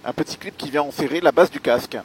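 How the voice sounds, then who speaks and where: A man giving a spoken gear review as a motovlogger, explaining things in a measured, hesitant way with pauses. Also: fairly high pitch, slight background noise, poor audio. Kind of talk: spoken product review while riding.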